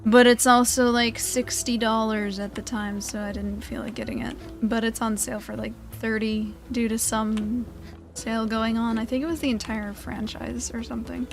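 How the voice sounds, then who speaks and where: A woman's voice: on the high side, level tone, moderate pace, a little hiss or hum. A woman giving casual, chatty gameplay commentary as the host of a gaming video. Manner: chatty, informal.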